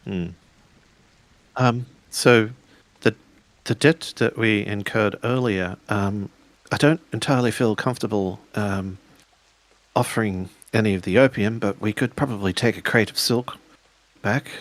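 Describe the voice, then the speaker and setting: A man roleplays in a tabletop game, recorded dry over the sound of rain. His voice sits on the low side and he talks slowly, with measured pauses, sometimes narrating his character in the third person. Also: no echo. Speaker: player in a tabletop roleplaying podcast.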